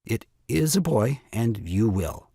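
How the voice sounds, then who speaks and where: Young husband and new father for stage farce: glib bluffer, husky, lively intonation. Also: mid-range voice, slow, no room sound, studio quality, exaggerated voice. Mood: brazenly confident. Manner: glib, mock-sympathetic, formal. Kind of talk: comic stage farce acting.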